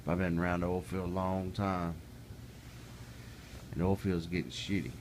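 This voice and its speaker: A middle-aged man with a deep, low voice talks straight to the camera in a folksy, plainspoken way. He grows heated and exasperated as he goes. A faint hiss sits under the recording. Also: steady tone, moderate pace, a little mellow. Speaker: vlogger. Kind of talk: casual ranting monologue.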